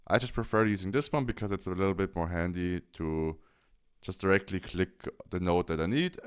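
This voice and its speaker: A man with a fairly low, smooth voice narrates a software tutorial. His intonation moves up and down a great deal as he explains each step. The delivery is informal and conversational, like a presenter walking a learner through a task.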